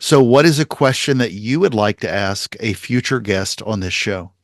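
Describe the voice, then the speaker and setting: A man's voice: lowish voice, animated, normal speed, no room sound, studio quality. A man hosting a podcast, summing up advice in an upbeat, emphatic way. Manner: upbeat, emphatic, list-like summarizing. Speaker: podcast host. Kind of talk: podcast interview and recap.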